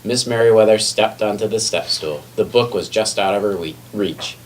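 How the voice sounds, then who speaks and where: A man's voice: lowish voice, moderate pace. A man reading a children's picture book aloud expressively, sometimes stumbling and correcting himself. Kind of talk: children's read-aloud storytelling. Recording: distant mic with faint background noise.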